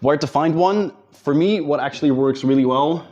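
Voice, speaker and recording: Dutch-accented male coach, informal straight talk, warm-dark voice, a little lo-fi. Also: fairly high pitch, normal speed. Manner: direct, informal, addresses viewers as guys. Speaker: business coach. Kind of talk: casual talking-head advice video.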